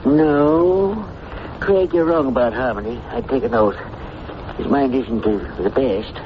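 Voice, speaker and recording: An actor playing an earnest, anxious small-town supervisor in a 1950s radio drama, hesitant and apologetic. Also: male voice, medium pitch, a little slow, natural voice. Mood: earnest, informative. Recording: noisy, muffled old radio recording.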